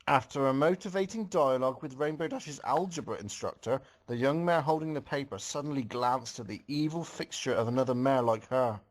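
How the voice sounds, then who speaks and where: Man narrating a story aloud. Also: medium pitch. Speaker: reader of a fan story. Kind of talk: storybook-style narration with casual comments.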